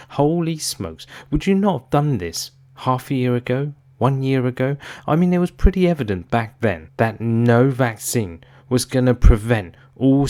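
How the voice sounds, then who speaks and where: A man delivers opinionated commentary to an audience of investors. He sounds exasperated and leans hard on his points, often framing them as pointed rhetorical questions. His voice sits at an ordinary middle male pitch. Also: a little mellow. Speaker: host of an investor commentary channel.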